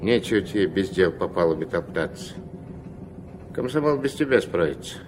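An actor playing a gruff, strict old river captain and grandfather, curt and moralising, in a Soviet radio play. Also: low-pitched, lively intonation, normal speed. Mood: gruff, instructive. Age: older. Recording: noisy old 1970s mono radio recording.

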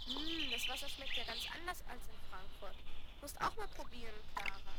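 A plucky, curious young girl with a high voice, played by a woman. It is radio-play acting for children. The vintage record is very noisy and crackly. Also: lively intonation, normal speed, lightly acted. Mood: eager, curious. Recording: crackly noisy 1970s vinyl rip.

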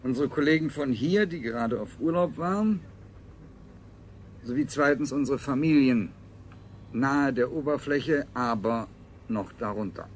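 Man playing formal scientist in old sci-fi radio drama, clinical, mid-pitched, slight noise. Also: measured pace, pauses often, close to an everyday voice. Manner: formal, matter-of-fact. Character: clinical, formal male scientist leading survivors. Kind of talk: science fiction radio play acting. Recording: faint noise, 1970s radio production.

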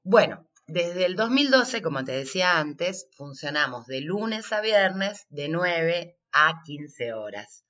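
Interviewee: Argentine woman coordinator, forceful bright tone, slow pace, spelling things out methodically. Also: lowish voice, very animated, muffled recording. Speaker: coordinator of an inclusive workshop being interviewed. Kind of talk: unscripted interview answers.

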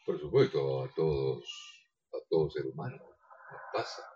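An Argentine man with a husky voice, chatting as a radio co-host in a polite, agreeable, rather formal manner. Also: rumbling low, expressive, unhurried, dark tone, a little hiss or hum. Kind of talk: casual radio chat. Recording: dull, lo-fi radio recording.

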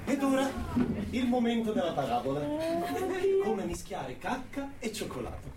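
A man's voice: high-pitched, big pitch swings, normal speed, over a noisy crowd. A young man telling a comic tale in a sardonic, theatrical way, full of mock-confiding asides. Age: young adult. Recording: loud background noise and ambience.

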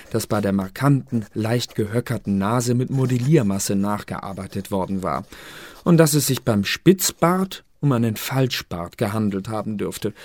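An actor playing a pedantic intelligence official who reads out a dossier in a dry, officious manner for a radio drama. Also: male voice, fairly low pitch, a little mellow, no room sound.

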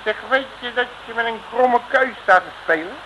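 A man's voice: very high voice, normal speed, pushed and bright. An actor playing a brash, wily male detective with chatty, folksy patter in a 1960s Dutch radio comedy serial. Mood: needling. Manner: cheeky, folksy, wheedling patter. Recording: noisy old radio recording.

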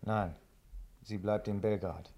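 A man's voice: lowish voice, fairly even intonation, takes it slowly, mellow. An actor in a 1950s radio drama playing a hot-tempered, aggrieved man with a blunt, emphatic way of talking. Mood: calming, subdued.

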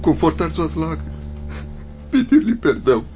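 A man's voice: on the high side, very animated, measured pace, darkish tone. A man playing the remorseful spirit of a loving father, mournful and pleading, in radio melodrama. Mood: anguished longing. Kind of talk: melodramatic radio drama acting. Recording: dull audio with music behind.

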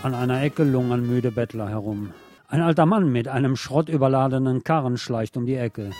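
A man hosting a late-night radio show, delivering a literary scripted monologue in a deliberate, poetic storytelling way. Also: medium pitch. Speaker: radio host. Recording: music playing under the voice. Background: music.

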